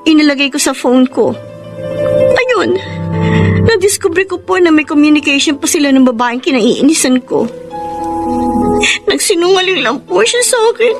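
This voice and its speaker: Over a music bed comes a young Filipina sweetheart in a radio romance, very high in voice. She is jealous and wounded, suspicious and openly emotional. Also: lively intonation, normal speed, long pauses. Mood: troubled, confiding. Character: jealous, hurt young mother and girlfriend.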